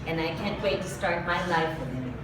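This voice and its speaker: A woman's voice: lowish voice, moderate pace. A woman performing spoken word poetry live, with an intimate, dramatic delivery built on repeated refrains. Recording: roomy echo, far from mic, ambient noise.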